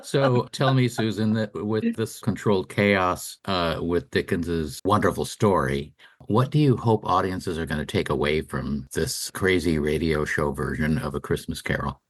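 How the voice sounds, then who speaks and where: A man's voice: deep voice, measured pace. A man hosting a conversational radio interview about the arts, putting questions and comments to a guest.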